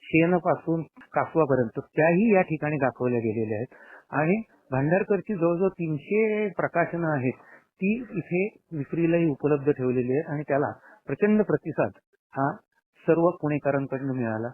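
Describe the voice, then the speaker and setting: Male chairman, fairly high voice, explanatory statement on phone-like line. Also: normal speed. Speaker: institute board chairman giving a statement. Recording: thin phone-like narrow-band sound.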